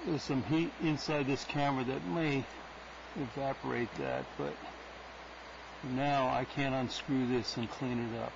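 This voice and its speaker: A man's voice: medium pitch, fairly even intonation, a little slow, gravelly. A man talking casually to himself while fixing a wildlife camera at an outdoor nest box, thinking aloud with many fillers. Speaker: wildlife cam caretaker. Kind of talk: casual running commentary while working. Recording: muffled lo-fi nest cam audio with noise.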